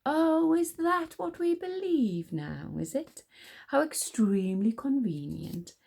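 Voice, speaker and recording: Woman reading as a calm, sardonic enchanter king. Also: mid-range voice, moderate pace, close to an everyday voice. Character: wry, composed male enchanter king.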